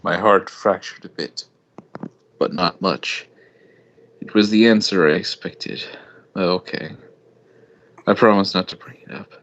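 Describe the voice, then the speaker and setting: A man's voice: deep voice, a little slow, long pauses, fairly bright tone, lo-fi sound. A young man giving a jokey, hammy amateur reading of a story. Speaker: amateur fan fiction reader.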